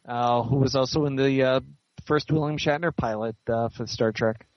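A man's voice: medium pitch, steady tone, normal speed, no room sound, highs cut off. A man being interviewed as a guest author, chatting casually and explaining things at length.

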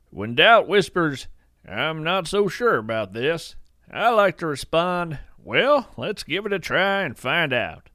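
A man's voice: on the high side, fairly bright tone, no echo, clean studio sound. A man playing a folksy naturalist host, expressively intoned. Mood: reassuring, encouraging. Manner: folksy, measured, lyrical, earnest. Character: folksy, wonder-struck male naturalist hosting a show about cryptids. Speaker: podcast host. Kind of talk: scripted storytelling narration in audio fiction.

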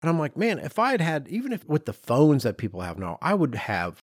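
A man's voice: fairly high pitch, huge pitch swings, brisk, no room sound, studio quality. A man, telling stories as a podcast co-host in an emphatic, self-deprecating, conversational way. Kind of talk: animated storytelling in casual podcast chat.

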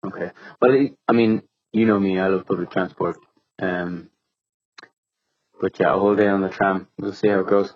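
Irish man on the phone, dry and wry, lowish voice, muffled lo-fi sound. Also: fairly even intonation, normal speed, husky. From Ireland. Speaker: beer writer. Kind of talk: casual phone call.

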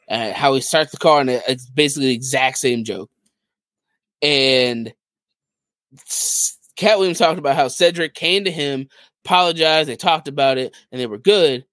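A man's voice: fairly high pitch, fairly bright tone, no room sound. A man co-hosting a podcast, casually retelling stories and acting out what people said. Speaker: podcast co-host.

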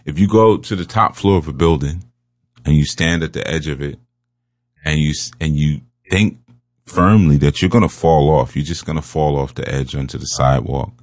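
A man giving a spiritual teaching talk, speaking slowly and emphatically and repeating his key phrases. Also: extremely low pitch, a little mellow, no room sound, studio quality.

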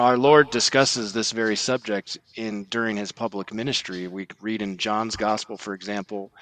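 A man on a religious podcast, earnestly explaining and quoting scripture in a measured way. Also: lowish voice, a bit bright, low-quality recording. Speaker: podcast guest, article writer.

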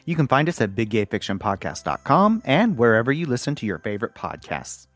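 A man's voice: mid-range voice, very animated, brisk, fairly bright tone, dry recording. A man who hosts a podcast, delivering a scripted promo and sign-off. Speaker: podcast host.

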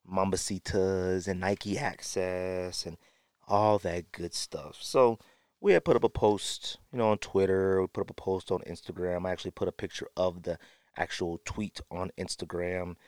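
A man with a fairly low voice, recorded close and dry, hosts a podcast solo. He talks casually and with strong opinions, leaning hard on key phrases and repeating them to drive the point home. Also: fairly even intonation, unhurried, darkish tone, no echo. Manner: emphatic, repeats phrases for effect. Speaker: podcast host.

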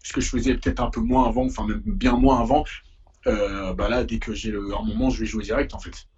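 A young man talks casually in an interview, his voice very husky and gravelly. He speaks in a slangy, halting conversational way, and the audio sounds a little muffled. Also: lowish voice, expressive, darkish tone. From Paris, France. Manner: informal, slangy, hesitant storytelling. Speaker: musician being interviewed.

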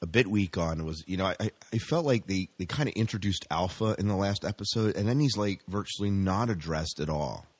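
A man co-hosting a casual podcast chat about science fiction, halting and repeating his phrases as he steers the talk. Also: deep voice, animated, darkish tone, breathy edge. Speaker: podcast co-host.

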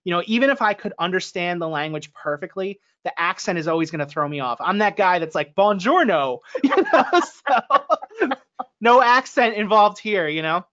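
A man's voice: high voice, animated, normal speed, sharp tone, highs cut off. A man with an American accent chatting informally as a podcast panelist, his talk full of casual filler phrases. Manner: informal, peppers talk with "like" and "you know". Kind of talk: casual conversational banter.

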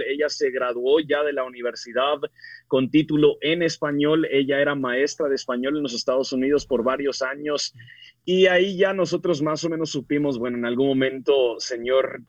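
A man from the United States with a Mexican Spanish accent, telling his story as an interview guest in a relaxed way with frequent restarts. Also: mid-range voice, dry recording, lo-fi sound. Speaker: pastor and author guest. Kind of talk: casual testimony interview.

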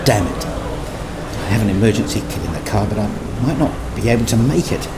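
A man's voice: on the low side, expressive, a little fast, heavy background noise, lightly acted. A man playing a self-deprecating, nerdy father who tells his own story in a radio play in wry, formal wording. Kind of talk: first-person radio play narration.

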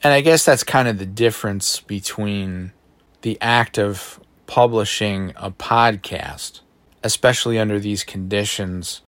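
A man with a baritone voice hosting a solo podcast, thinking aloud in a reflective, self-deprecating way, recorded on a phone in a bedroom at home. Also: unhurried, a bit bright, dry recording. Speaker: podcast host. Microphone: phone microphone.

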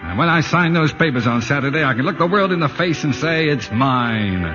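A stubborn, starry-eyed American husband played by a male actor, earnest and defensive in a lively mid-range voice. It is 1940s radio drama on a muffled old recording with music behind. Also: fairly high pitch, fairly quick, close to an everyday voice. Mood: dreamy, earnest. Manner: earnest, defensive, hesitant starts. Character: stubborn, dreamy middle-class husband, smitten with his house. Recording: dull lo-fi 1940s radio broadcast.

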